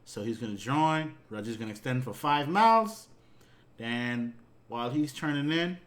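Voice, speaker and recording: A man giving an informal, halting reaction commentary, demonstrating controller phraseology as he explains it. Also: a little high. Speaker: air traffic controller reacting to a video.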